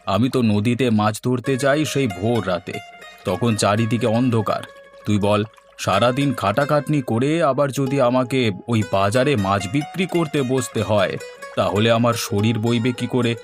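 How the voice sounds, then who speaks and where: A man's voice: medium pitch, unhurried, music in the background, natural voice. A man playing a hardworking, friendly village fisherman and father in a cartoon story. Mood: weary, matter-of-fact. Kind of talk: Bengali cartoon story dialogue.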